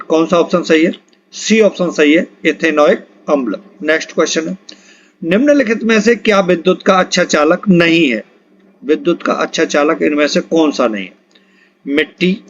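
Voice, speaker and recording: Male exam-prep teacher, notably high voice, instructional quiz read-out that prompts for answers, muffled low-fidelity recording. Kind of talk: quiz lesson, reading questions, options and answers aloud. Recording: dull lo-fi recording.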